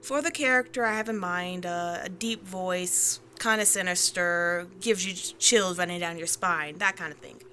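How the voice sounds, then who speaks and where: A woman's voice: lowish voice, normal speed, few pauses, sharp tone, a little hiss or hum. A woman who makes ASMR roleplays, giving upbeat, direct channel announcements. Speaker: ASMR creator.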